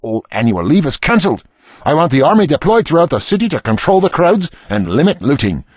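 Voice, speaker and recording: A man playing a blunt, commanding prime minister in an audio drama, with a husky voice. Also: a little high, very animated, a little fast, dry recording, studio quality. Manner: blunt, barking orders, swinging from alarm to brooding.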